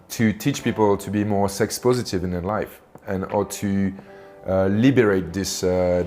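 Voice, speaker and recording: A man giving an unscripted personal testimonial in a halting way, searching for his words. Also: low-pitched, a little mellow. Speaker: workshop participant giving a testimonial.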